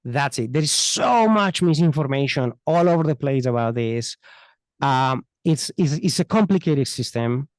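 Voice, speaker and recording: A man's voice: medium pitch, lively intonation, normal speed, no echo. A man answering as an expert podcast guest, earnest and emphatic, often repeating his phrases. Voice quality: thick foreign accent. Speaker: interview guest, immigration attorney. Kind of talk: unscripted podcast interview answers.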